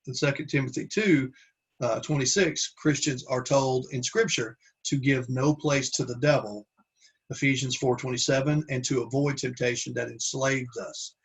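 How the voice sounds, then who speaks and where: A man with a husky, slightly rough voice leads a Bible class, working through his slides out loud. He talks haltingly, stopping to restart words and filling the gaps with "uh" and "um". His pitch sits in a middle range. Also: rough recording. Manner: halting, with many restarts and fillers.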